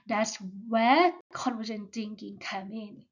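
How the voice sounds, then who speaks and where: A woman whose first language is Thai walks through a presentation slowly and carefully, one step at a time. Her voice sits a little high, and the recording sounds somewhat dull and lo-fi. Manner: slow, careful, step-by-step delivery. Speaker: student presenter.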